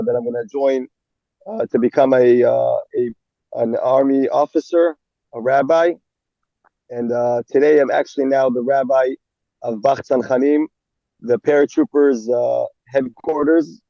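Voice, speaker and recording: A young man with a gravelly edge answers interview questions in halting English. He stops, restarts and fills gaps often. The recording sounds dull and dry. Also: mid-range voice, dry recording. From Israel. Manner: halting, many fillers and restarts. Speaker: army rabbi. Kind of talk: interview guest.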